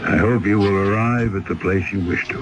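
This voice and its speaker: A man's voice: lowish voice, a little fast, close to an everyday voice, rough recording. A middle-aged actor playing a worldly, fatherly male exile in a 1970s radio drama. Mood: coaxing. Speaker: older convict and storyteller.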